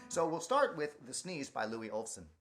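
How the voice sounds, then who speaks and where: A man's voice: a little high, sing-song, moderate pace, fairly bright tone, faint music behind. A man, a children's performer chatting casually and animatedly as he introduces stories. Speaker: children's music performer and storyteller. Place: daycare.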